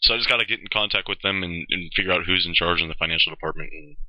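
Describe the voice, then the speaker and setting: A man's voice: low-pitched, very fast, no room sound. A male caller making a casual phone inquiry, hesitant and often repeating or rephrasing his questions, on lo-fi call audio.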